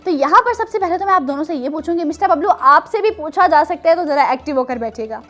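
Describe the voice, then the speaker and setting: A high-voiced woman presents a children's storytelling show. She talks in a teacherly, playful way, quizzing and teasing, with music softly behind her. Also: animated, fast.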